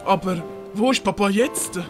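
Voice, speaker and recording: A man as an anxious, high-pitched young master. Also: normal speed, over music, close to an everyday voice. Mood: concerned. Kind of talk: amateur audio drama acting.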